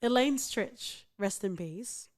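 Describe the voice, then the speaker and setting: A woman co-hosting a podcast, chatty and quick to laugh, who swears casually. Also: mid-range voice, animated, darkish tone. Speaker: podcast co-host. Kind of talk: casual chat.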